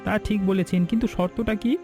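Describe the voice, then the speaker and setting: Guy doing eager loyal helper in a cartoon, high voice, earnest, soft music behind. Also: fairly even intonation, brisk. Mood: agreeable. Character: loyal, eager male hotel assistant and confidant. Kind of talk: Bengali moral-story cartoon dialogue.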